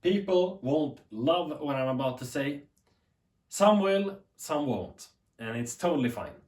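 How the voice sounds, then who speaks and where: A man's voice: a little high, sing-song, a little slow, darkish tone. Swedish-accented man, earnest unscripted rambling. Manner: rambling, earnest, self-correcting. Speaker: tech YouTuber. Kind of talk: unscripted talk to camera. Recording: echoey room.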